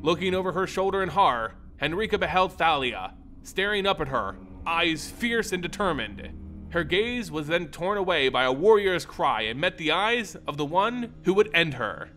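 Male storyteller for scripted fantasy. Expressive, dramatic, a little higher-voiced, lively intonation. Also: normal speed, faint music behind. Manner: theatrical, expressive.

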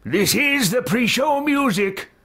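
Guy belting a goofy homemade song, high bright voice, words stretched out. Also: animated. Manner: silly, drawn-out, belting. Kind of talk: playful comic singing of a homemade jingle.

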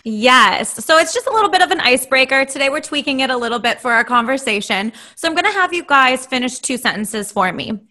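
A young woman co-hosts a casual podcast with a bright, forceful voice. She talks in a bubbly way, laughing between sentences, close to a studio mic. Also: sits high, expressive, fairly quick, hardly any pauses. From Cleveland, Ohio. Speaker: podcast co-host. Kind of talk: casual panel chat. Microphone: studio mic with headphones.

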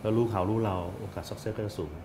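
An older man, a company director guest, speaking politely and deliberately in a studio talk show. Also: on the low side, fairly even intonation, noisy background. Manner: deliberate, polite, frequent particles. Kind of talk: measured business talk.

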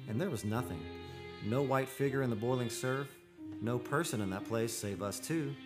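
An actor playing an earnest, haunted man of seafaring stock in an old-time radio drama, speaking in a solemn, measured, poetic way. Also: medium pitch, lightly acted. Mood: hushed, solemn. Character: earnest, haunted seafarers' descendant telling his eerie tale. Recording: live radio studio with music behind.

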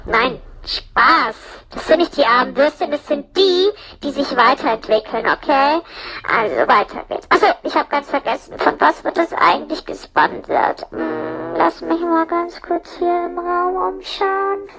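A woman's voice: sits high, measured pace, vocal fry, a bit bright, rough recording. A woman rambling through a casual comic monologue as a storyteller, slangy and sweary, joking and mimicking people as she goes. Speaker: audio-play storyteller in a puppet's persona.

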